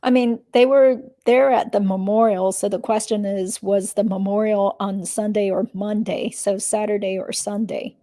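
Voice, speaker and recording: Woman playing a guarded witness, soft mellow tone. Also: mid-range voice, fairly even intonation, normal speed, few pauses, no echo, studio quality, close to an everyday voice. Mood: guarded, matter-of-fact. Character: guarded woman witness answering in a legal deposition. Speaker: YouTuber reading a deposition witness's part. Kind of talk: dramatic reading of legal testimony.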